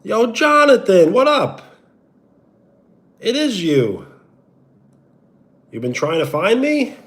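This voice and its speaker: A young man from New York talks in a Brooklyn accent, chatting loosely with the viewers of his live stream. His pitch swings up and down a lot as he calls out names with a big stretched "yo, what up". Between greetings he drops into an easy sports-radio delivery. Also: very animated, takes it slowly, fairly bright tone.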